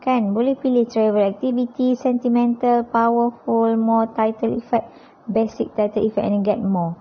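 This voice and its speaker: A woman's voice: fairly high pitch, steady tone, moderate pace. A woman with a Malaysian accent giving an informal step-by-step app tutorial, conversational and often checking in with tag questions. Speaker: tutorial presenter, teacher. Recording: dull, lo-fi sound.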